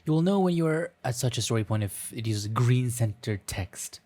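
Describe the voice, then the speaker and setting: A man's voice: medium pitch, expressive, normal speed, a little mellow. A man hosting a game playthrough, reading a visual novel aloud with wry, self-deprecating casual commentary and a slightly scratchy throat. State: scratchy throat, frequent throat clearing. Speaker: let's play host. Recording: music playing behind the voice. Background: background music.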